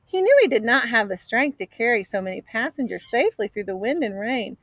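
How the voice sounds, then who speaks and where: Higher-voiced woman reading a storybook aloud. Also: brisk, dry recording, lo-fi sound. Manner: expressive, dramatic storybook delivery. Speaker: storybook reader. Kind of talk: read-aloud storytelling from a picture book. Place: outdoors in an open grassy area.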